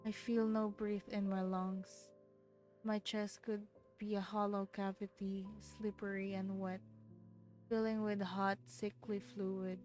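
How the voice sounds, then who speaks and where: Female game streamer, expressive visual novel reader, unhurried, mid-range voice, faint music behind. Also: medium pitch. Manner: theatrical, expressive. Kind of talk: dramatic reading of visual novel narration with casual reactions.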